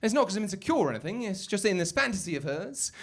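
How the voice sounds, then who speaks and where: Lively man telling deadpan one-liners. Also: high voice, fast talker, heavy background noise. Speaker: stand-up comedian. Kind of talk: live stand-up set. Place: basement comedy club stage.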